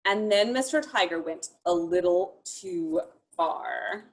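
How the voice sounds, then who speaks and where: A woman reading a picture book aloud to young children in an expressive, encouraging way, talking directly to them. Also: fairly low pitch, takes it slowly, a little hiss or hum.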